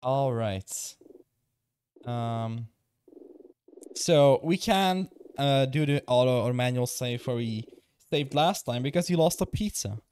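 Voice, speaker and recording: A man's voice: mid-range voice, lively intonation, slow pace, pauses often, darkish tone, smooth. A young man from the Czech Republic with a Czech accent, a streamer chatting casually, reading chat aloud and swearing now and then. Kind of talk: casual live-stream chat while gaming.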